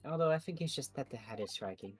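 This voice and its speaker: A man's voice: medium pitch, expressive, fast talker, husky, soft background music. A man reading game dialogue aloud in a casual fan-dub playthrough with friends.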